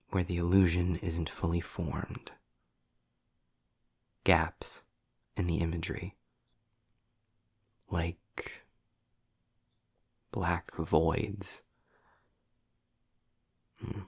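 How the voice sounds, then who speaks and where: Man acting as sarcastic male demon, deep husky voice, dry close recording, wry, erudite, impatient, audio roleplay. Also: little pitch movement, no room sound, full character voice. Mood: hushed, intent. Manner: sardonic, wordy, deliberate with dramatic pauses. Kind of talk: scripted audio roleplay, addressed to the listener.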